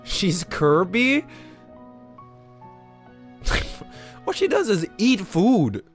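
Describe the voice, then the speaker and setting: A man's voice: high voice, sing-song, very slow, soft background music. A man giving casual, slangy, incredulous reaction commentary on a game livestream. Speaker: game streamer.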